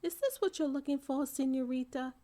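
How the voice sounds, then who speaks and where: Woman voicing a brisk, obliging laundromat manager. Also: sits high, dark tone, voice pushed up, lightly acted. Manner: businesslike, calling out.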